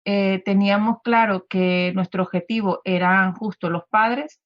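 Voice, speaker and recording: A woman's voice: mid-range voice, steady tone, unhurried, no echo, lo-fi sound. A woman in her fifties with a Venezuelan accent, a guest talking in a conversational radio interview with many fillers and restarts.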